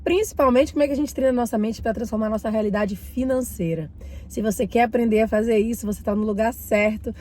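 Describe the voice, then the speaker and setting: A woman's voice: fairly high pitch, fast talker, a little hiss or hum. A woman from Bahia with a Bahian Brazilian accent, talking casually to her viewers as a channel host while still getting over a cold. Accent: Bahian Brazilian Portuguese. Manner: warm, chatty, addresses viewers directly. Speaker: mindset and finance channel host. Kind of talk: casual talk to camera, reading and commenting a book.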